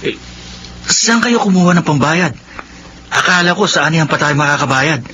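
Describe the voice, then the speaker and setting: An actor playing a dutiful, earnest young Filipino student who speaks respectfully, in a radio drama. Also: male voice, on the high side, lively intonation, moderate pace, natural voice. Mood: respectful, reassuring. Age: young adult. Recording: muffled audio, clear background noise.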